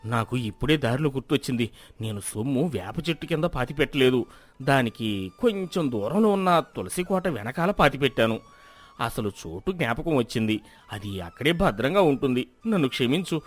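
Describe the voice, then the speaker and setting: A man's voice: medium pitch, expressive, moderate pace, close to an everyday voice. A man voicing a meek, flustered village man in a moral story, hesitant and apologetic.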